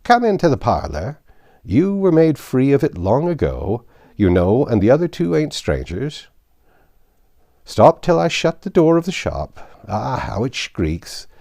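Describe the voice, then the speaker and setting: A man's voice: fairly high pitch, gravelly, dark tone, dry recording, studio quality, voice pushed up, exaggerated voice. A man voicing a jovial old rag dealer near seventy, boisterous and colloquial, in a storybook reading. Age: older. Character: wheezy old rag-shop rascal, nearly seventy, jovial.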